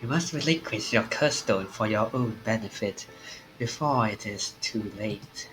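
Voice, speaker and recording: A man voicing a grave, formal man who speaks in a measured, menacingly polite way in a visual novel. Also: on the low side, background noise, close to an everyday voice. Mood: grave warning. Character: grave, formal man calmly warning of a deadly curse.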